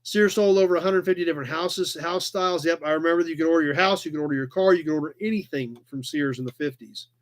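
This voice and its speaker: A man's voice: on the high side, fast, hardly any pauses. An American man in his forties hosting a live chat, talking casually and conversationally as he reads and answers viewer comments. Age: 40s. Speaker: live chat show host.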